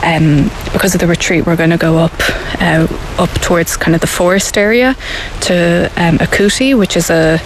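An Irish woman who grew up speaking Irish, explaining her practice in a relaxed, thoughtful way with frequent ums and restarts. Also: on the low side, moderate pace, slight background noise, rough recording. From Ireland. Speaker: interviewee, Buddhist centre member. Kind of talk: casual conversational explanation.

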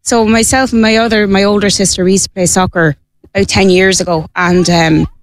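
A woman's voice: medium pitch, fairly even intonation, fairly quick, dry recording. Irish woman, late 30s, player, casual and self-deprecating, dry sound. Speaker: team player. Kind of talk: casual interview.

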